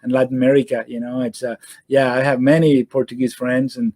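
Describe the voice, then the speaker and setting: A middle-aged man from Venezuela, answering interview questions in a halting way, full of fillers and restarts, over a video call. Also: mid-range voice, flat delivery, a little mellow, no room sound. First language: Spanish. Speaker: guest surgeon.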